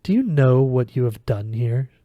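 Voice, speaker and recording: A man's voice: medium pitch, expressive, dry recording, lo-fi sound, lightly acted. A man voicing a cruel, dismissive fox god in an audiobook, speaking formally and measuredly with a French accent. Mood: condescending, menacing. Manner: measured, condescending, formal phrasing.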